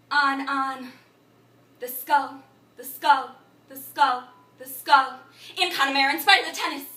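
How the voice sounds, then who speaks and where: A woman playing a frantic, babbling thinker in an absurdist stage monologue, incantatory and repetitive, swinging between muttering and shouting. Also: high-pitched. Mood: anguished, incantatory. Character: frantic, babbling thinker reciting a broken learned tirade. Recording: echoey room, distant microphone.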